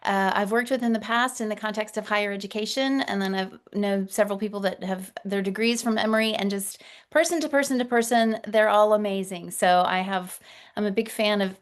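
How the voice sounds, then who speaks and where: A woman who coaches communication, teaching an interactive webinar over a video call in a warm, gracious and encouraging way. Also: mid-range voice, a little fast.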